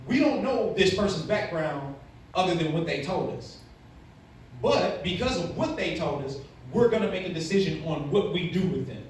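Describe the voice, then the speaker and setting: A man in his late thirties giving a blunt, candid talk to young people, stressing points with rhetorical questions. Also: high-pitched. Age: late 30s. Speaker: motivational speaker to youth. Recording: very echoey room, far from mic, faint noise.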